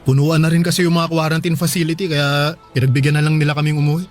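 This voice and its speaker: A man's voice: a little high, flat delivery, fast talker, over music, close to an everyday voice. A man playing a weary, devoted father. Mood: tired. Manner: reassuring, weary, plain-spoken. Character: tired, devoted father caring for a sick child. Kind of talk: radio drama acting.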